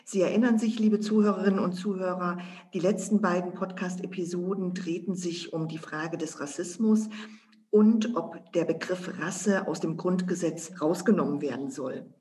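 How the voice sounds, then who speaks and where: A woman's voice: lowish voice, moderate pace, warm tone, a little hiss or hum. A woman co-hosting an educational podcast, formally addressing listeners. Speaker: podcast co-host. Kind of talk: scripted podcast moderation.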